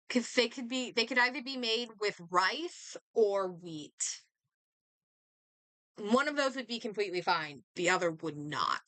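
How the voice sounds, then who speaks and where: Bright-voiced woman streamer thinking aloud. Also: mid-range voice, dry recording. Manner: hesitant, thinking aloud, repeating words. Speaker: livestreamer. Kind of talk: casual chat while gaming, reacting aloud.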